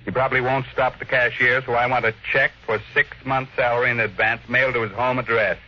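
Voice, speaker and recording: An actor playing a ruthless, imperious older millionaire in a 1950s radio drama, brusque and commanding. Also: male voice, mid-range voice, fairly even intonation, fast talker, close to an everyday voice. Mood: cool, unruffled. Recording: lo-fi old radio broadcast.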